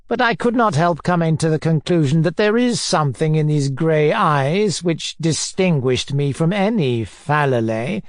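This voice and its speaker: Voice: medium pitch, no room sound, clean studio sound, close to an everyday voice. A narrator voicing a pompous, bullying middle-aged man who hectors and sneers, in an audiobook. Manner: pompous, majestic nonchalance, hectoring questions. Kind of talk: audiobook character dialogue.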